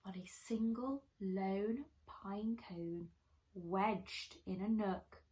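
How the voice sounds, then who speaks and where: A woman reading a children's picture book aloud expressively, with dramatic pauses and chatty asides to young listeners. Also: mid-range voice, darkish tone. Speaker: primary school storyteller.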